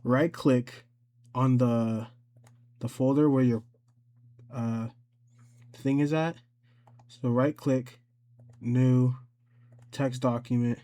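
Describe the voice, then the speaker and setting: A man's voice: medium pitch, fairly even intonation, slow pace, pauses often, warm tone. A man hosting an informal, improvised step-by-step screen tutorial, thinking aloud as he goes. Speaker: tutorial host.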